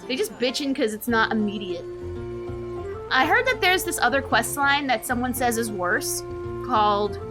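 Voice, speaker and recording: A woman's voice: on the high side, animated, moderate pace, music in the background. A woman live-streaming a game with casual, slangy, reactive commentary and offhand swearing.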